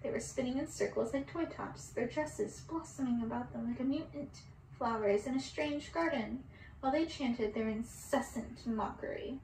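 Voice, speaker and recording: Female ASMR storyteller, high register, reading aloud from a little way off the mic. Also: moderate pace, a little mellow. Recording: distant roomy mic with background hiss.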